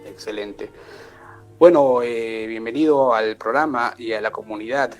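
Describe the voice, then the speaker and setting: A Peruvian man hosts a live online show in a relaxed, welcoming way, his speech full of filler words. He sits at an everyday middle pitch. Quiet music plays faintly beneath his voice. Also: unhurried. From Peru. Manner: warm welcoming with frequent filler "eh" and "este". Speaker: host. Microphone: video call.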